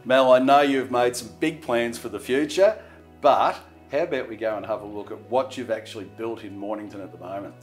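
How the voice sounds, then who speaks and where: A hearty Australian man in his sixties hosts a boating program, chatting on location through a lapel mic. His voice sits in a middle register, and soft music plays underneath. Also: mid-range voice, a little fast. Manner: hearty, upbeat, leading questions ending in "isn't it?". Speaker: TV boating show host. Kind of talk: friendly on-location interview. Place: boat workshop and outdoor marina. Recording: faint background music.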